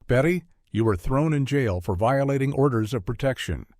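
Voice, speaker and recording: A man's voice: mid-range voice, expressive, normal speed, darkish tone, no echo. A man hosting a scripted satirical interview, blunt, sarcastic and confrontational in his retorts. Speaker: host, mock interviewer.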